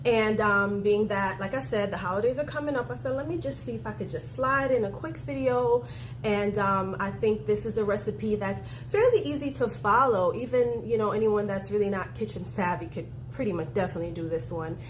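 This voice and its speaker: A woman with a stuffy, nasal head-cold voice talks her way through a cooking demo in a loose, chatty way, full of ums and asides. She is filming herself handheld in a home kitchen. Steady household noise sits clearly behind her voice. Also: medium pitch, fairly quick, rough recording. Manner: chatty, relaxed, many ums. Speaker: private chef. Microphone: handheld phone camera.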